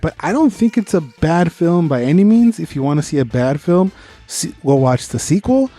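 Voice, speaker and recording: Man, podcast co-host, soft mellow tone, casual review chat, opinionated and sweary, light music underneath. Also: on the high side, expressive, moderate pace. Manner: informal, opinionated, swears freely.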